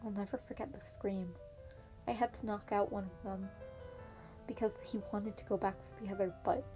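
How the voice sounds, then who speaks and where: A woman's voice: medium pitch, fairly even intonation, normal speed, natural voice. Woman reading as an earnest teenage schoolboy. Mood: quiet grief. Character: earnest, clever teenage boy student hiding his trauma. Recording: piano music behind the voice.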